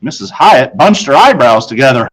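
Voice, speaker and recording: A man's voice: mid-range voice, sing-song, normal speed, poor audio. A man reading aloud to children as a storyteller, in the manner of a teacher narrating a book. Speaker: audiobook reader, teacher.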